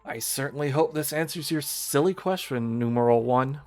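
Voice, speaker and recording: A man's voice: mid-range voice, moderate pace, a little mellow, close to an everyday voice. A man voicing a prim, condescending female arbiter of a deadly game, reading a visual novel aloud in formal, theatrical tones. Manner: theatrical, formal, mock-refined. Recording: music behind the voice.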